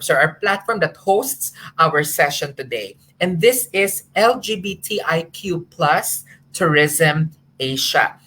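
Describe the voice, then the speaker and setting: A woman hosting a live online session, her voice a little low but bright and forward. She speaks earnestly and stops to correct herself. She sounds like she is on a video call. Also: breathy edge, poor audio. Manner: earnest, with frequent fillers and restarts. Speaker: moderator.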